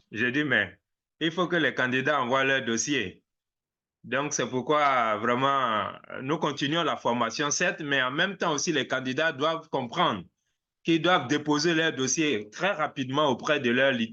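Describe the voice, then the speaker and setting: A man speaking as a reverend, giving a deliberate, exhorting address in French over slightly dull online call audio. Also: medium pitch, sharp tone. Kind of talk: formal spoken address to an online gathering.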